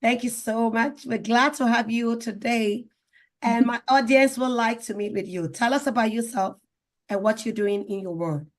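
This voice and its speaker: A woman's voice: a little high, normal speed. A woman hosting a talk show in an enthusiastic, effusive manner, repeating phrases for emphasis. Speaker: show host.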